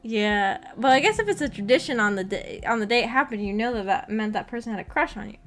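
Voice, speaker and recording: A woman's voice: fairly high pitch, lively intonation, fast, a bit bright. A woman chatting casually as a livestream host, rambling in a giggly, self-deprecating way. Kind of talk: casual chat. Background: music.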